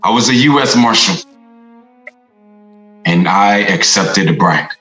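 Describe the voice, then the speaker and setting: Male actor: haunted American former Marshal, deep voice, bitter self-blame, brooding monologue delivery, echoey room. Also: expressive, takes it slowly, pushed and bright, full character voice. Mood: confessional, grim. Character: guilt-ridden, self-loathing hard-drinking former US Marshal and veteran. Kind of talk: dramatic confessional monologue. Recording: roomy echo under music.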